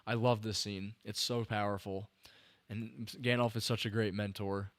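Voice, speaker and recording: A man's voice: fairly low pitch, little pitch movement, moderate pace, no room sound. Young man gushing about a film, close dry mic. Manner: rambling, restarts sentences, talks to chat viewers. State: slightly tired. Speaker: podcast host livestreaming a film breakdown. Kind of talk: casual enthusiastic film commentary to chat.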